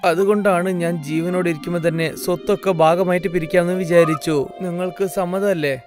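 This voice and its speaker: Guy doing a sick old village dad from a kids cartoon, gentle n earnest, music behind. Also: high-pitched, fairly even intonation, quick pace, close to an everyday voice. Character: ailing old village father, gentle, hopeful for his two sons. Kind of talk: dubbed children's fairy tale cartoon. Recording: faint music under the voice.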